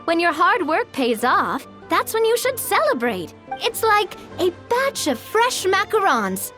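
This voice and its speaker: Theatrical showrunner, very high lively voice, grandiose, playful, anime game, faint music behind. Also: female voice, measured pace, full character voice. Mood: delighted, indulgent.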